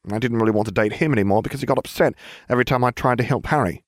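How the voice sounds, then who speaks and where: A man's voice: mid-range voice, racing, no echo, studio quality, pitched above the performer's own voice, natural voice. A man voicing a cheerful teenage girl with a chirpy, breezy way of talking.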